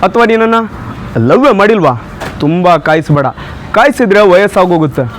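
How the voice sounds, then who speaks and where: A man's voice: sits high, expressive, fairly quick, slight background noise. A man acting an obsessive, menacing lovestruck tough-guy hero, reciting emphatic film dialogue in short punchy phrases. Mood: defiant, insistent.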